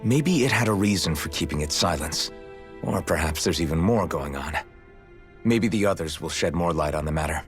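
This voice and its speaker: A man's voice: extremely low pitch, animated, moderate pace, soft background music. An actor playing an earnest, resolute young swordsman in a video game, speaking directly. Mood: pondering. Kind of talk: video game cutscene acting.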